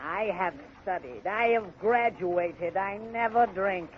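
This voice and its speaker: An actor playing a haughty, self-important gravedigger and scribe in a radio drama, with clipped, declamatory delivery. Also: male voice, high-pitched, takes it slowly. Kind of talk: golden age radio drama acting. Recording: muffled, noisy old radio broadcast.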